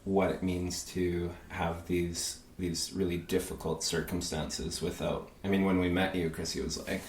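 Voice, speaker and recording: A man in his thirties who grew up in Peru, a podcast guest speaking haltingly with many fillers and restarts. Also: deep voice, flat delivery. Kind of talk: casual conversation. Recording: echoey room with faint noise.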